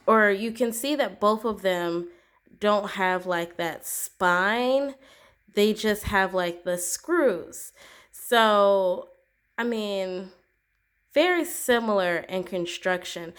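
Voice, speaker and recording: A woman talks slowly and unhurriedly, her voice a little congested from a lingering head cold. Her throat is sore, so she clears it often as she chats loosely, with plenty of ums and likes. She is recorded at a home desk by a camera pointed down at the tabletop. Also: medium pitch. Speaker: planner vlogger. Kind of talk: casual product comparison. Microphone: camera aimed down at the desk, speaker off frame.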